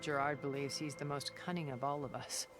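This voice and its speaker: An actress voicing a cultured, centuries-old woman recluse in a video game cutscene, speaking in a calm, deliberate and elegant way. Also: very deep voice. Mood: measured. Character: cultured, ancient woman recluse, measured and regretful. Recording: game cutscene with music underneath.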